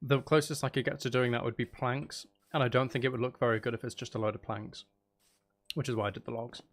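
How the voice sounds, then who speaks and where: A man's voice: mid-range voice, level tone, quick pace. A man chatting casually on a gaming livestream, thinking aloud as he builds and bantering playfully with viewers, with some mild swearing. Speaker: livestream host.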